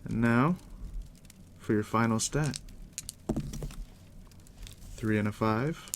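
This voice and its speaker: Man, ASMR game master, soft deep whisper, heavy vocal fry, unhurried, reassuring, close mic. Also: very noisy. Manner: unhurried, reassuring, talking directly to the listener. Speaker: game master guiding a new player.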